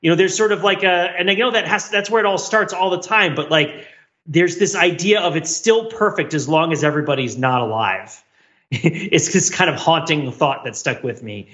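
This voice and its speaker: A man's voice: on the high side, moderate pace, a bit bright, highs cut off. A man co-hosting a podcast, talking reflectively in long, winding explanations. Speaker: podcast co-host.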